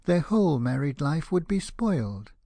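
A man's voice: fairly high pitch, big pitch swings, normal speed, vocal fry, mellow, dry recording, pitched above the performer's own voice, heavily acted. A man voicing a pompous, self-obsessed firework rocket in a storybook, declaiming with indignation and self-pity. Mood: self-important. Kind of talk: dramatized storybook reading.

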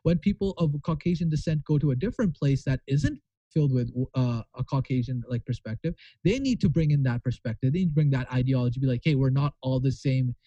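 A man's voice: medium pitch, fast, dark tone, dry recording. A young man from Pakistan, a guest talking in a casual interview in an earnest, rambling way with many restarts. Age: young adult. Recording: muffled lo-fi sound.

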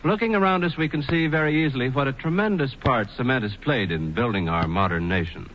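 A man reading scripted sponsor narration as a 1940s radio spokesman, with measured, emphatic phrasing. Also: medium pitch, lively intonation. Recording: muffled, noisy 1940s radio broadcast.

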